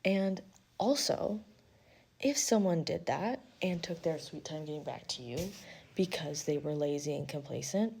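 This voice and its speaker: A woman with a slightly low, warm and relaxed voice talks through an intuitive reading. Her delivery is casual, frank and peppered with swearing, slipping into mock quotes. There is light noise behind her. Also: a little slow, vocal fry. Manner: blunt, sweary, conversational. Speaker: tarot-style spiritual reader and host.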